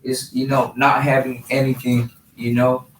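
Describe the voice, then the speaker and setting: Even-toned young man, distant in a room. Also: medium pitch, moderate pace. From Los Angeles, partly raised in Honduras. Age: 20s. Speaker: guest, professional boxer. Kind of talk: casual interview answers. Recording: roomy, distant mic, faint background noise.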